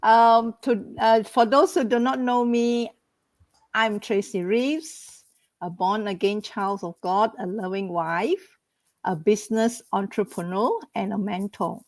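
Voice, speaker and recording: A woman from Malaysia, an entrepreneur and mentor, giving a slow personal talk in an online group. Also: medium pitch, no room sound.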